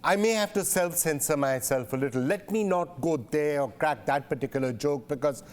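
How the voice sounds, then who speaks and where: A man's voice: fairly high pitch, normal speed, darkish tone. A man hosting an interview, asking probing questions and often repeating phrases as he builds them. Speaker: interviewer, news show host.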